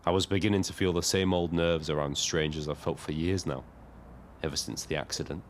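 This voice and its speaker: Low-voiced, lonely taxi driver. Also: male voice, steady tone, a little fast, a little hiss or hum. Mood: reflective narration. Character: lonely, nervous, kindly Brighton taxi driver narrating his story. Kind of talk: audio drama narration and dialogue.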